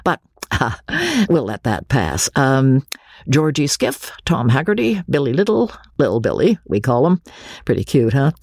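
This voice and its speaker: A performer voicing a tipsy gentleman host in an audiobook, formal and deferential but fumbling and halting. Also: mid-range voice, sing-song, dry recording, clean studio sound. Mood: tipsy geniality. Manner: halting, fumbling, boozily courteous.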